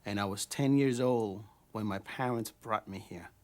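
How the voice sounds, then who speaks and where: A man's voice: fairly low pitch, normal speed, a little mellow. A man from Puerto Rico answering interview questions in an easygoing, slightly hesitant way.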